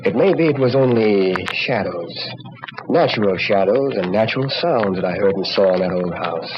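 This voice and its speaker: An actor playing a grave, troubled doctor, speaking with solemn deliberation in an old-time radio drama. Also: male voice, on the low side, expressive, normal speed, lightly acted. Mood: brooding. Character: grave, troubled doctor haunted by a weird experience. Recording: dull old radio recording with clear noise.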